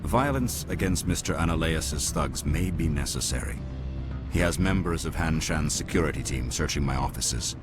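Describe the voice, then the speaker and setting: An actor playing an old alien businessman in a video game, suave and dryly scheming, with measured formal speech. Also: a bass voice. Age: older. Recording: music behind the voice.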